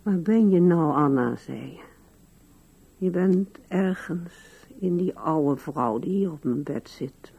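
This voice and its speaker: An older woman acting in a radio drama as a musing, wistful old widow with a gravelly, husky voice. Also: lowish voice, animated, takes it slowly, dark tone, exaggerated voice, poor audio. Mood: tender, sorrowful.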